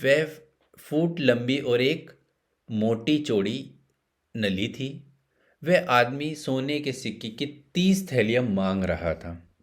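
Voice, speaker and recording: Guy reading a bedtime story, slow, normal pitched voice, pauses a lot between bits. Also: lively intonation. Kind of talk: scripted bedtime story narration.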